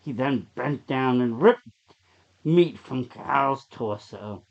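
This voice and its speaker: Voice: mid-range voice, expressive, takes it slowly. Horror story narrator, slightly dull lo-fi sound.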